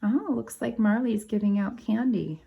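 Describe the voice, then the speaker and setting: A woman reading a children's picture book aloud as a teacher, pausing to explain things and ask the children questions. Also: on the high side, gravelly, breathy edge, highs cut off. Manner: explains to young children, asks them questions. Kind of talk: animated storybook read-aloud with asides to children.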